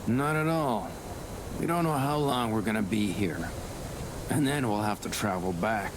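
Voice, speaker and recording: A man playing a practical gentleman official in a period visual novel, with a plain, businesslike delivery. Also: lowish voice, a little slow. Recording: clear background noise and ambience.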